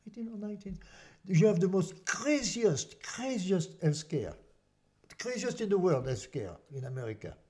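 A man's voice: high voice, sing-song, normal speed. An older man with a French accent, a French native speaker giving an interview, emphatic and prone to repeating himself. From France. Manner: emphatic, repeats words, drops into quiet asides. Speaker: interview guest. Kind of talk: conversational interview.

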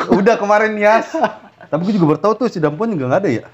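A man's voice: sits high, lively intonation, rapid-fire. A man chatting casually and teasing his co-host. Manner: jokey, teasing, talks over his partner. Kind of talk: singing then casual chat. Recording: muffled lo-fi audio with loud guitar.

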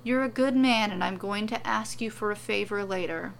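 Woman playing a terse, gravelly bearded man. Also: mid-range voice, normal speed, slight background noise, close to an everyday voice. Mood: calm, firm. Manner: calm, clipped, matter-of-fact. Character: calm, terse bearded man, blunt fantasy wanderer.

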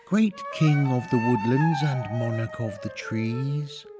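A man voicing a gentle, free-spirited female butterfly in a poetic storybook recitation, courtly and pleading. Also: mid-range voice, slow pace, voice pushed up, lightly acted. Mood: gently pleading. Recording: solo flute music behind.

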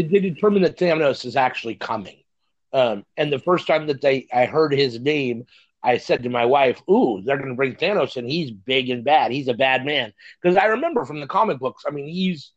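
Casual male podcast co-host, slightly dull audio. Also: medium pitch. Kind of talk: casual movie review chat.

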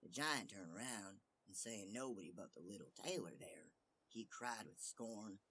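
A man narrating a fairy tale as an expressive storyteller. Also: on the low side, unhurried, a little hiss or hum.

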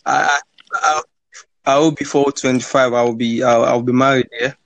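A man's voice: mid-range voice, steady tone, gravelly, a bit bright, no room sound, poor audio. Young man in his twenties hosting a podcast, hesitant delivery with many ums, close dry sound. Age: 20s. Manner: halting, many fillers and repeated words. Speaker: podcast host. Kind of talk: casual conversation.

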